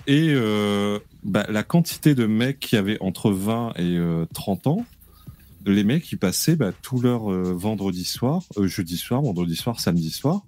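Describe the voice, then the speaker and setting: A man on a live talk show telling an anecdote in a slow, halting way. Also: lowish voice, warm tone. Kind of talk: casual storytelling.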